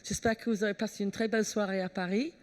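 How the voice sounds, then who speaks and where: A woman's voice: medium pitch, fairly even intonation, fast, a little mellow. A woman hosting a trade show stage, welcoming the audience and introducing a speaker in a chatty way with frequent fillers. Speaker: event host.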